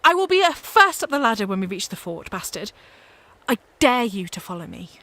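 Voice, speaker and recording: A woman's voice: mid-range voice, big pitch swings, brisk, sharp tone. A woman in a radio play acting a fearless, devout young peasant soldier, speaking in an earnest, impassioned, declamatory way. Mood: bold, defiant.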